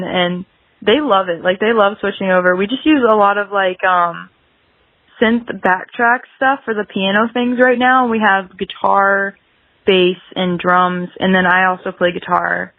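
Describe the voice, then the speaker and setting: A young woman in a casual interview, speaking at a middle pitch over a dull, dry, lo-fi line. She rambles through her thoughts in a conversational way, with "like" scattered all through. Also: dry recording. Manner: rambling, dense with filler "like". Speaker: podcast guest, singer-songwriter.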